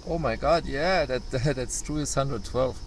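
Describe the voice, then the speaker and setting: Halting man in his forties. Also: medium pitch, noisy background. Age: 40s. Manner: brief backchannel, halting non-native English, laughs easily. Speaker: interviewer.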